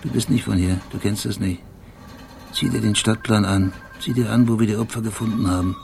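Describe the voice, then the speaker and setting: Low-voiced, haunted male police detective. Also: steady tone, brisk, a little mellow, soft background music. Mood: obsessive, insistent. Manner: terse fragments, meticulous describing. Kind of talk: German radio drama monologue and dialogue. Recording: clearly noisy background.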